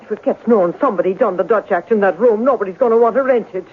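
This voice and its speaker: A woman's voice: mid-range voice, fast, slight background noise, lightly acted. An actress playing a suspicious, tough boarding house landlady in a radio drama. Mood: hostile, defensive. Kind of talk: 1970s radio drama acting. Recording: tinny old radio broadcast.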